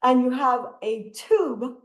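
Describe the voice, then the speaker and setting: A woman leading a laughter yoga session, giving breathing cues and breaking into loud laughter often. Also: sits high, animated, takes it slowly, roomy sound. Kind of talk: guided exercise instruction. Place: video call from home. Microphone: computer mic on a video call.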